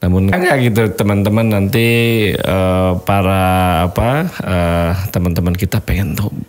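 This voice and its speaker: A man hosting a podcast interview, talking in a friendly way, often pausing with fillers and laughing easily. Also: deep voice, steady tone, darkish tone. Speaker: podcast host, doctor.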